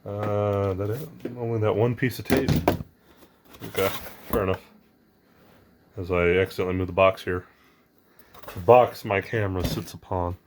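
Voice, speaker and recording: Hoarse, raspy man vlogging. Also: lowish voice, fairly even intonation, normal speed, long pauses, background noise. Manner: rambling, lots of ums and uhs. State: hoarse, losing his voice. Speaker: unboxing channel host. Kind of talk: casual unboxing vlog.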